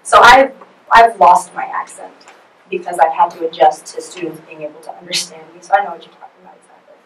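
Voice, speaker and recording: A woman's voice: on the low side, fast talker, fairly bright tone, slight background noise. A woman leading a forum discussion as presenter, asking for comments and answering questions. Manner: conversational, leads questions. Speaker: student presenter. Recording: echoey room from far off.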